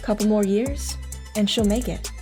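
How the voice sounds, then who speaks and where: A woman's voice: medium pitch, normal speed, dark tone, lightly acted. Woman playing a wistful soldier's wife, music behind. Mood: fond, wistful. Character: devoted soldier's wife and mother, wistfully reminiscing. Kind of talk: audio drama acting.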